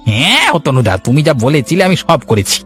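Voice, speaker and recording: A man's voice: a little high, big pitch swings, fairly quick, a bit bright, soft background music. A man playing a compliant village sidekick in a cartoon, talking in a casual, deferential way. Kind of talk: Bengali cartoon story acting.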